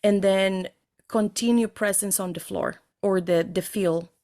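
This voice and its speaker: Woman, gravelly fry in the voice, mid-range pitch, conversational podcast guest, illustrates points with imagined dialogue. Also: steady tone, a little slow, darkish tone. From El Salvador. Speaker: podcast guest, safety consultant.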